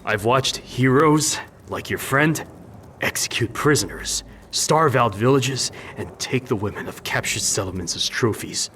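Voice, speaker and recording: An actor playing a jaded, sardonic war veteran and brewer in a fantasy video game, dry and wistful. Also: male voice, mid-range voice, lively intonation, a little slow, a bit bright, slight background noise. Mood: bitter.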